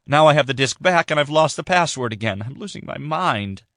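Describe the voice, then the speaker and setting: A man's voice: medium pitch, expressive, brisk, fairly bright tone, no room sound, clean studio sound, voice pushed up, lightly acted. A man reading aloud, voicing a frantic young woman on the run in a tense, urgent way. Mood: exasperated.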